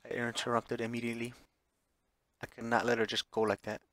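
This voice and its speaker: A man's voice: mid-range voice, completely flat delivery, a little fast, fairly bright tone, a little hiss or hum. A man streaming a visual novel, chatting casually as he plays and reading the text aloud, sometimes stumbling and correcting himself.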